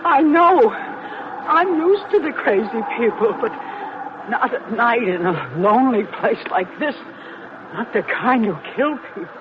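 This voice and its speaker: A woman's voice: a little high, expressive, a little slow. An actress playing a menacing, agitated older woman stranger who pleads and demands in an old-time radio thriller. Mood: uneasy, urgent. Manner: agitated, demanding, swinging between pleading and snapping. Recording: noisy, muffled old radio drama recording.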